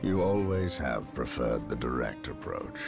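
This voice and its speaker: An actor playing a proud, commanding space-marine captain in a game cutscene, giving curt orders. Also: male voice, rumbling low, animated, a little slow, exaggerated voice. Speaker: game character. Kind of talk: video game cutscene acting. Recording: game cutscene with music underneath.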